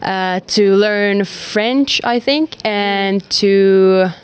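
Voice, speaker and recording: A woman's voice: medium pitch, drawn-out words, clear, resonant tone. A young woman from Finland whose first language is Swedish, answering interview questions in English with hesitant fillers in a school classroom. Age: young adult. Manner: hesitant, with frequent fillers and restarts. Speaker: exchange student.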